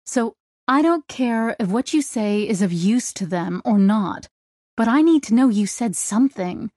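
A woman's voice: fairly high pitch, lively intonation, unhurried, darkish tone, dry recording, studio quality. A woman voicing a young female starship crew member, grieving and angry, speaking through tears. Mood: upset. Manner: tearful, fierce.

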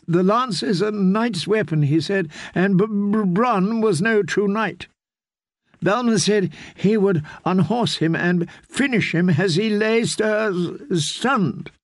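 A man's voice: sits high, takes it slowly, no room sound, clean studio sound, voice pushed up. A man voicing a distraught noblewoman who stammers through tears in a quavering voice. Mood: tearful, stammering. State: crying.

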